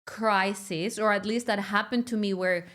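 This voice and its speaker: A Spanish-speaking woman from Mexico with a Mexican accent, hosting a podcast interview in English in a lively, casual way, laughing often and restarting sentences. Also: mid-range voice, moderate pace. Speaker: podcast host.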